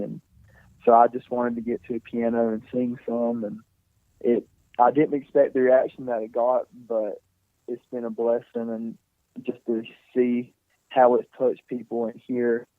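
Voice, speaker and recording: Twenty-something guy, soft, lots of filler words, sounds tinny like a phone. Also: medium pitch, dry recording. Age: 20s. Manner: soft-spoken, many fillers and restarts. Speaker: singer and interview guest. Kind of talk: casual interview talk.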